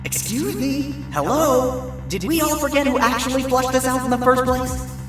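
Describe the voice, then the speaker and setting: A woman voicing a sly male fox defense attorney in an animated courtroom story, sarcastic and theatrical. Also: sits high, moderate pace, natural voice. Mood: exasperated. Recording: music behind the voice.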